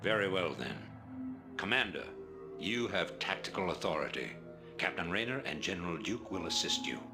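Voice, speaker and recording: A man playing a calculating, grandiose rebel leader who speaks in a measured, oratorical way in a video game. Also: low-pitched, lively intonation. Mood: authoritative. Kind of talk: video game cutscene acting. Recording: loud music behind the voice.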